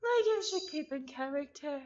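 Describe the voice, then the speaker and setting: A woman's voice: high-pitched, animated, moderate pace, darkish tone, dull audio. A woman reading a visual novel aloud in a playful, theatrical manner, breaking off into chatty asides. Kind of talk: visual novel read-along with casual reactions.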